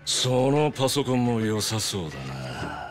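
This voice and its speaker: A man voicing a menacing, weary middle-aged yakuza with a rough, coldly mocking drawl in a video game. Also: mid-range voice, big pitch swings, unhurried, over music. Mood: mock pity, menacing. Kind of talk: Japanese video game voice acting.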